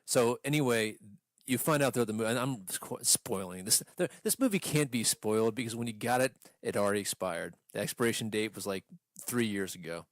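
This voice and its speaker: A man chatting casually as a podcast host, ranting through a story in a profane, exasperated, animated way. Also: lowish voice, fairly quick, dry recording. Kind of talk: casual movie rant chat.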